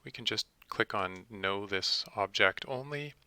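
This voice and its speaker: A man narrating a step-by-step software tutorial in a methodical, instructional manner. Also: lowish voice, a little slow, clean tone, no echo.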